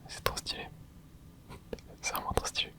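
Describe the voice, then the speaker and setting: A host whispering casual ASMR commentary over gameplay, reacting excitedly and chatting to viewers. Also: normal speed. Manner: casual, chatty, self-correcting. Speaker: channel host.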